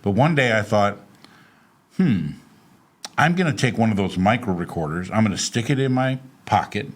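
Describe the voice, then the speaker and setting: A man talking as a guest, telling personal stories in a casual, animated, self-deprecating way with laughs. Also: on the low side, moderate pace. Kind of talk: casual conversational storytelling.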